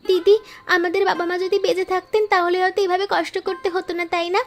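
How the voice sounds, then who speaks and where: A woman's voice: very high voice, flat delivery, quick pace. A woman voicing an earnest, affectionate young girl, a poor flower seller and younger sister, in a cartoon moral story. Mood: wistful.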